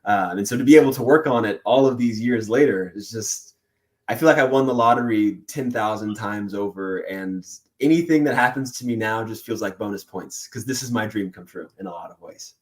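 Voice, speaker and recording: A man who works as a voice actor, telling stories in a casual interview with animated, excitable energy and frequent laughter. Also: on the low side, fairly quick. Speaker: voice actor guest.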